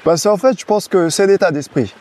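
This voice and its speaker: Male guest, casual outdoor interview, laid-back, halting delivery with many fillers. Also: sits high. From French Guiana. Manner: hesitant, many restarts and fillers, relaxed.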